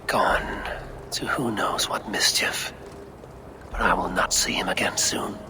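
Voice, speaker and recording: A man's voice: deep voice, fairly even intonation, slow pace, in a whisper. An actor playing a proud, stern Norse god-king in a video game, speaking in a grave, commanding, formal way. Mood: brooding. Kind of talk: dramatic video game cutscene acting.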